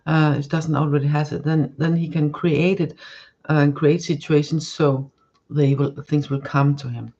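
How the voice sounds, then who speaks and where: A woman's voice: deep voice, level tone, moderate pace, dark tone, lo-fi sound. Woman reading tarot cards. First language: Danish. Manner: searching for words, many restarts and fillers. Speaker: tarot card reader. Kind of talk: live-stream tarot reading.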